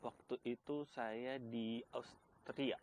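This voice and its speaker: A man's voice: medium pitch, level tone, slow pace, a little hiss or hum. A man from Indonesia with a Jakarta accent, talking casually as an interview guest in loose, informal phrases. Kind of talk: casual chat.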